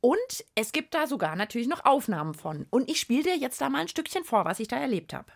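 Woman, children's podcast host, highly animated intonation, playful, teasing, encouraging. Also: quick pace, creaky. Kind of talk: playful casual chat and reporting for children.

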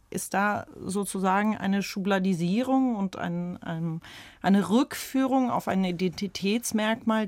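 A woman writer talks as a guest on a radio debate. She is articulate and thoughtful, with a fairly low voice. The sound is dry and close. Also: no room sound. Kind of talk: radio discussion.

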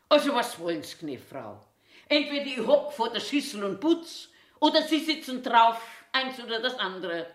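Eccentric old woman, eighties, Bavarian dialect, grumpy washroom attendant, theatrical monologue, slow delivery, very lively intonation. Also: fairly high pitch, heavily acted. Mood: indignant scolding. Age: 80s. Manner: grumbling self-talk, swinging between scolding and muttering. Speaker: actor.

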